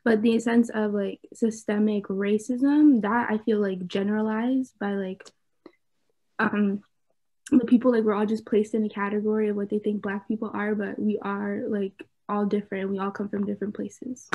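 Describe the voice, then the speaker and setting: A woman's voice: mid-range voice, flat delivery, moderate pace, dark tone. A teenage girl co-leading a school club panel, speaking casually with lots of fillers over a video call. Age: teens. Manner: chatty, many "like" fillers. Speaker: panel moderator, club co-lead. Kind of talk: casual student panel discussion. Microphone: laptop webcam video call.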